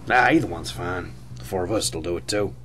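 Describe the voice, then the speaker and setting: A man's voice: sits low, fairly quick, a crowd in the background, close to an everyday voice. A man playing a laid-back, independent former agent in an audio drama. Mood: easygoing, frank. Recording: music under the voice.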